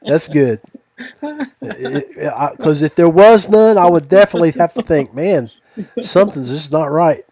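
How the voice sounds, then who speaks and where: A man's voice: fairly high pitch, expressive, a little slow, a crowd in the background. A man hosting a podcast in a casual, conversational interview style. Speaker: podcast host.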